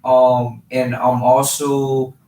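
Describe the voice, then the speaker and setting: A man's voice: mid-range voice, little pitch movement, drawn-out words, mellow. A man in his twenties from Los Angeles answering casually as an interview guest, often repeating phrases as he goes. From Los Angeles, partly raised in Honduras. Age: 20s. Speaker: guest, professional boxer. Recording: roomy, distant mic, faint background noise.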